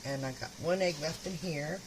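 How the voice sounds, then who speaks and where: A woman's voice: low voice, normal speed. An older woman with a sore throat, chatting homily as she cooks in her kitchen. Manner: homey, chatty, talks while cooking. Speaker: home cook. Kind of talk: casual cooking vlog. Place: home kitchen. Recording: loud kitchen sizzle noise. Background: frying and sizzling food.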